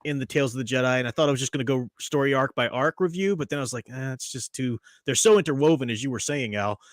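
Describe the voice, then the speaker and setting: A man's voice: medium pitch, fast talker, no room sound, clean studio sound. Chatty, opinionated man host. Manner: chatty, opinionated, laughs often. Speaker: podcast host. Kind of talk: casual chat.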